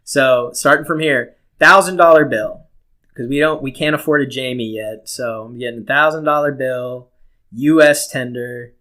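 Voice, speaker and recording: A man's voice: medium pitch, normal speed, sharp tone. A man co-hosting a podcast in casual, joking chat, riffing freely and swearing. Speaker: podcast co-host.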